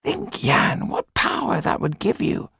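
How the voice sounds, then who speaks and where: A man voicing a solemn older Romany mystic in an audiobook, with a whispery, gravelly, husky voice and slow, hushed storytelling. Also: no room sound, studio quality. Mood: hushed awe. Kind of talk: audiobook character reading.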